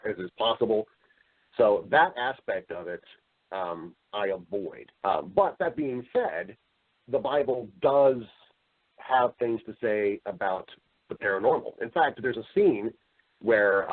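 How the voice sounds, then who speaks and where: A man's voice: fairly low pitch, slightly rough, narrow, tinny audio. A man speaking as an interview guest, explaining his views in an earnest, emphatic, conversational way. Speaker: voice-over artist and storyteller.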